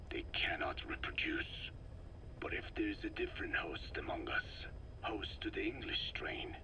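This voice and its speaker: A very low, whispered voice of an elderly sage, heavy with solemnity. He speaks slowly and cryptically, as in a dramatic game recording, each phrase set down with deliberate care. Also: poor audio. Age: older. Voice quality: hushed, whispery, breathy. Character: wise, grave, slow-speaking old man, a dying elder. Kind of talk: scripted video game dialogue.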